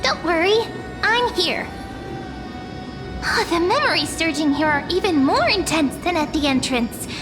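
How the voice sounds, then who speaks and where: Tiny, squeaky-high cute fairy creature. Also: female voice, animated, unhurried, over music. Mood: tender. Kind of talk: video game voice acting.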